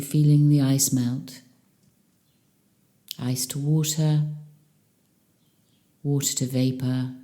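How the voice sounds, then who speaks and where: A woman leading a guided meditation as a teacher, speaking calmly and soothingly with long pauses between phrases. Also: a bass voice.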